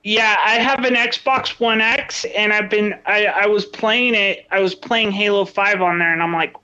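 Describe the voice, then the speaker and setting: A casual podcast co-host recorded at home on a personal mic. The voice sounds bright and forward, but the recording is dull and lo-fi. They ramble a little, with frequent ums and restarts. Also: mid-range voice, steady tone, measured pace, clear, resonant tone. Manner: hesitant, many ums and restarts. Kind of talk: casual chat. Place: home, with children in another room. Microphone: own home mic.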